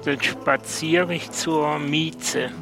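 A man speaking in a plain, earnest, deliberate way as a guest player in an improvised audio adventure. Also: a little high, fairly even intonation, gravelly, music in the background.

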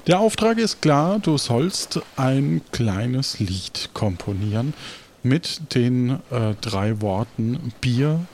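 A man's voice: medium pitch, big pitch swings, slow pace, a little mellow, slight background noise. A man hosting and narrating an improvised adventure podcast as game master, with a theatrical way of announcing.

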